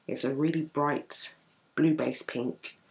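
A young woman with a deep early-morning voice, chatting through a makeup tutorial at home while she works on her eyes. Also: fairly even intonation, slow pace, a little mellow, low-quality recording. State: just woken, deep morning voice. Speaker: beauty vlogger. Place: home room with bookshelves, indoors.

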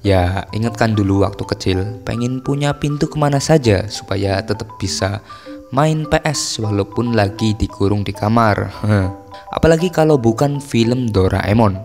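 A man's voice: lowish voice, animated, moderate pace. A man casually narrates a film plot from a script as a recap host. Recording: long reverb tail with music underneath. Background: background music.

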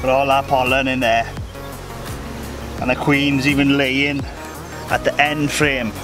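A man's voice: medium pitch, level tone, measured pace, music in the background. A young man, a beekeeper, chatting casually through a product review while standing outdoors in a rural field, with birds in the background. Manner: chatty, upbeat, thinking aloud. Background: birdsong and faint outdoor ambience.

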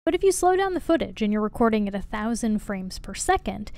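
A woman's voice: fairly high pitch, very animated, fairly quick, creaky, a little mellow. A woman reporter giving wry, conversational voice-over narration for a science video. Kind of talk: scripted narration.

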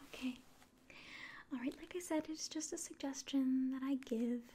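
A young woman performing a whispered ASMR roleplay as a calm alien official, speaking to the listener in a soft, breathy voice with hesitant pauses. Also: high voice, slight background noise. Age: young adult. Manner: slow, gentle, hesitant pauses. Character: calm, condescending alien official examining a human. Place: small indoor room.

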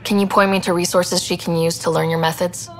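An actress playing a young, earnest, easygoing human explorer in a video game, speaking casually and directly. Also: female voice, fairly low pitch, level tone, fairly quick, close to an everyday voice. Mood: casual, curious.

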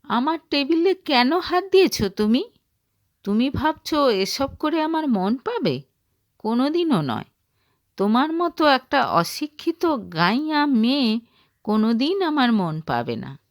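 A woman's voice: high-pitched, big pitch swings, unhurried, no room sound, studio quality, heavily acted. A woman voicing an angry, contemptuous young husband in an audio story, harsh and accusing. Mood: angry, scornful. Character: angry, scornful young husband berating his wife. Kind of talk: dramatised audio story dialogue.

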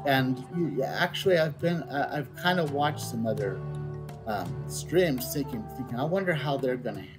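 A middle-aged man livestreaming a game, chatting casually in a rambling, halting way and reading out on-screen text. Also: mid-range voice, animated. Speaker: game streamer. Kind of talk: casual livestream chat and read-out. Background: game music.

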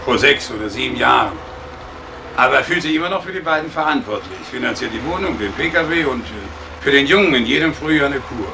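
An actor playing a guarded, long-serving scrapyard worker in a radio crime drama, answering in a dry, hesitant, matter-of-fact way. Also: male voice, mid-range voice, lively intonation, fairly quick, close to an everyday voice. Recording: clearly noisy, outdoor-like ambience.

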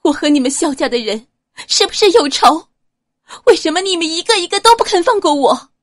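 A woman's voice: lively intonation, a little fast, no echo, clean studio sound. A woman voicing a grief-stricken, furious young noblewoman who cries out accusations through sobs. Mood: anguished. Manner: shrill accusing cries, sobbing.

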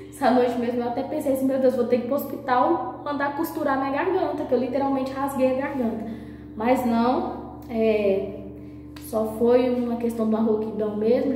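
Woman in her 30s, hoarse and nasal, talking low into her phone, mellow voice, muffled echoey bathroom. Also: slight background noise. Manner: speaking softly, low voice. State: hoarse, nasal from a sore throat. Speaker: vlogger. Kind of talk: casual vlog to camera. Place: small tiled bathroom indoors. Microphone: phone camera at arm's length.

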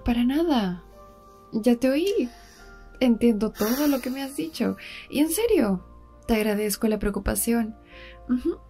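A woman playing a defiant, sarcastic young woman in an ASMR audio drama, with a mocking, drawn-out, breathy delivery. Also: on the high side, animated, mellow, soft background music, heavily acted. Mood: impatient, sarcastic.

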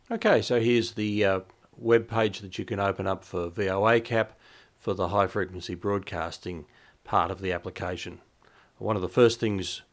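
A man in his fifties presenting an explanatory tutorial in a measured, instructional way, with clear enunciation. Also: lowish voice. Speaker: presenter of a shortwave radio review. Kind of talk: explanatory tutorial to camera and over screen demo. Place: small indoor home radio room.

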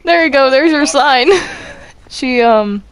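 A woman's voice: high-pitched, takes it slowly, slight background noise. A woman chatting casually while gaming, giggly and rambling as she reacts aloud. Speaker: player in a Minecraft roleplay group.

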